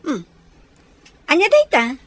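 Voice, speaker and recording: An actress playing a kindly, patient, cheerful elder sister who gives advice, in an Ilocano radio comedy drama. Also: female voice, sits high, huge pitch swings, takes it slowly, exaggerated voice.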